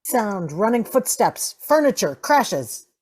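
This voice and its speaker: A woman's voice: mid-range voice, big pitch swings, takes it slowly, darkish tone. A woman reading out sound-effect cues from a radio drama script.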